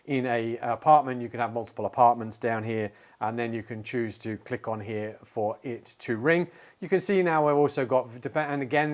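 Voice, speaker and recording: A man in his forties giving a casual, explanatory product walkthrough with hesitant phrasing, recorded in an indoor studio. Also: mid-range voice. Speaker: tech channel presenter. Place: indoor studio with plain backdrop.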